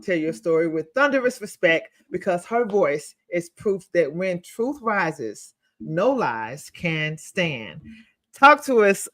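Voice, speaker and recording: A woman hosting a talk show, reading out guest introductions with heavy emphasis and dramatic pauses. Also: on the low side, fairly bright tone. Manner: emphatic, pausing between words. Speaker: host.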